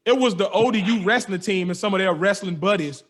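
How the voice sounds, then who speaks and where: Young man, high-pitched voice, podcast host, casual slangy storytelling, animated. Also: brisk.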